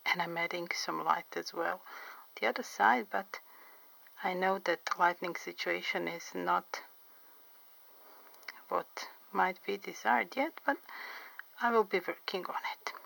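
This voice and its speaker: Slow, careful woman with gravelly voice. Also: fairly low pitch, fairly bright tone, low-quality recording. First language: not English. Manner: slow, careful, clearly enunciated, pauses often. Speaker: artist and interpreter. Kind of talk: drawing tutorial vlog chat. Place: home studio by a window.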